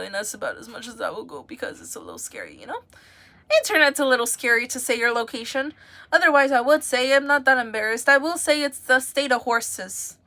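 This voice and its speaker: A woman's voice: a little high, bright, forceful tone. A young woman from the United States, chatting casually and giggling as she rambles to viewers on a livestream.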